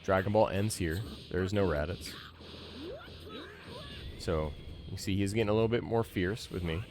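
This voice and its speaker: A man's voice: low-pitched, normal speed, music in the background. A man in his thirties giving casual, conversational gameplay commentary as a streamer, thinking aloud into a close mic. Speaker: game streamer, podcast host.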